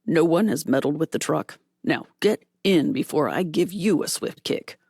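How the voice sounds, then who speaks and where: A woman voicing a gruff, drunken male robber leader who growls harsh orders at his men. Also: sits low, expressive, moderate pace, darkish tone, dry recording, studio quality, full character voice. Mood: bossy.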